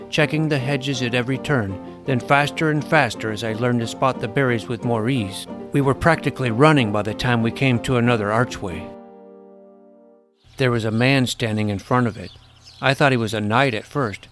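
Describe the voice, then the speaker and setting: A man's voice: mid-range voice, lightly acted. A man playing an earnest, awkward boy hero in an audio drama, casual and boyish as he tells his adventure. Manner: casual, boyish, hesitant phrasing. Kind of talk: first-person scripted narration and acted dialogue.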